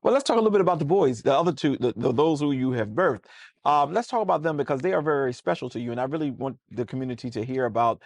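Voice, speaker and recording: A man's voice: medium pitch, lively intonation, quick pace, no room sound, studio quality. A man with an American accent, a pastor hosting a casual church talk show, folksy and preacherly, often repeating words as he starts a sentence.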